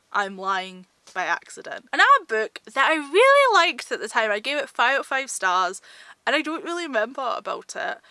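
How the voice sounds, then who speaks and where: High, bright-voiced woman chatting casually. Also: no room sound. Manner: informal and self-deprecating. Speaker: book vlogger. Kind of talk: casual book chat to viewers.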